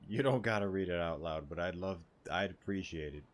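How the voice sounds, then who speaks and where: A man's voice: sits low, fairly quick. A man giving casual, offhand commentary as he plays through a game. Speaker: gaming streamer reacting.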